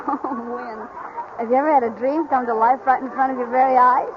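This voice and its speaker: A woman's voice: sits high, fairly even intonation, fairly quick, close to an everyday voice. An actress playing a spirited young working woman in love, direct and wry, in 1940s radio style. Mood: happy. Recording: muffled, noisy 1941 radio recording.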